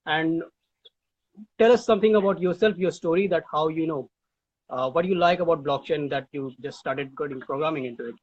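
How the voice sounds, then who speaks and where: A man's voice: fairly high pitch, brisk, husky. A man hosting an interview over a video call, asking long hesitant questions with many fillers. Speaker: interviewer, host. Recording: dull lo-fi call audio.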